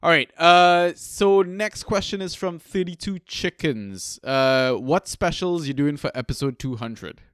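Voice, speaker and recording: Young man from trinidad, podcast co-host, loose chatty delivery, smooth voice, dry close mic. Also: a little high, measured pace, few pauses, fairly bright tone, no echo. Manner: laid-back, swears freely, lots of fillers. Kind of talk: casual chat, storytelling.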